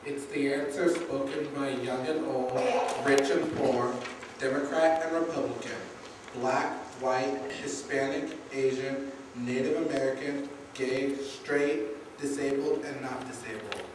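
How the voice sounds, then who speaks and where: A man's voice: medium pitch, fairly even intonation, unhurried. Distant young man reading in echoey church. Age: young adult. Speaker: congregation youth member reading a speech. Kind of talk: read-aloud political speech at prayer service. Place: large church, indoors. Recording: echoey, noisy church recording from far off.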